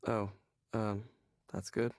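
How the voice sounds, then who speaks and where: An actor playing a depressed, despairing male office worker in a visual novel game, speaking haltingly with bitter agitation. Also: fairly low pitch, flat delivery, no room sound, clean studio sound. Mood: hollow, dejected. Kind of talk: naturalistic visual novel voice acting.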